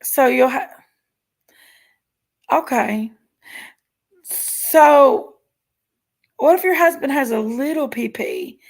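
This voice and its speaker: A woman's voice: high voice, slow pace, long pauses. A woman, chatting frankly and giggling as she hosts a live stream and reads viewer comments. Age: 40s. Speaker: livestream host. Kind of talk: casual chat reading viewer comments.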